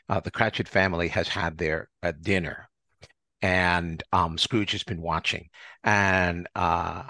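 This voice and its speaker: A man hosting an arts podcast, talking in a halting, rambling way and circling back over his phrases. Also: low voice, fairly bright tone, no echo, studio quality. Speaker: podcast host and interviewer. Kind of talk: conversational arts interview.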